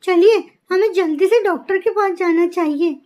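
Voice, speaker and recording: A woman voicing a gentle, dutiful young daughter-in-law bird in a cartoon story, speaking politely and earnestly. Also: very high-pitched, normal speed. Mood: caring, earnest. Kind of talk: animated moral story acting.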